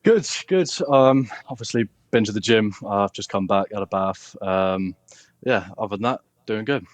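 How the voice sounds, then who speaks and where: A man's voice: lowish voice, normal speed, no room sound. A British man chatting casually as a podcast guest, telling his story in a hesitant, meandering way. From United Kingdom. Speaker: podcast guest, content creator.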